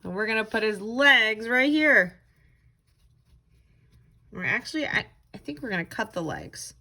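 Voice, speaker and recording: A woman's voice: a little high, expressive, a little slow, sharp tone. A woman tutor giving children simple, encouraging step-by-step instructions for a craft. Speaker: tutor leading a children's read-aloud craft.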